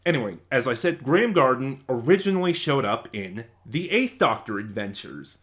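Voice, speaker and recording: A man giving casual review commentary as an online reviewer, in a halting way, often rephrasing mid-sentence. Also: mid-range voice, fairly bright tone.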